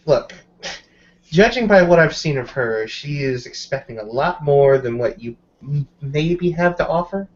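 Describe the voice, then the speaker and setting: A man's voice: a little high, animated, unhurried. Frank, skeptical werewolf woman played by a man. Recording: dull lo-fi audio.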